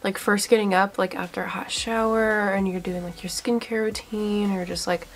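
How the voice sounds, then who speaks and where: A woman's voice: mid-range voice, fairly even intonation, normal speed. A young woman talks through a skincare test with a stuffy, congested voice from a cold. She chats eagerly and loosely, filling her talk with likes and ums. She sounds close to the camera in a small room. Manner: chatty, filler-heavy, eager. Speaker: beauty vlogger. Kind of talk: casual product review.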